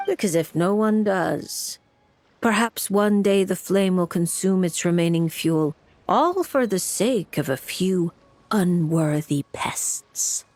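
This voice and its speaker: A woman voicing a composed, gently teasing fortune teller in a video game, with a poised, musing manner. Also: on the low side, slow. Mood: musing, mysterious. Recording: music bed under the voice.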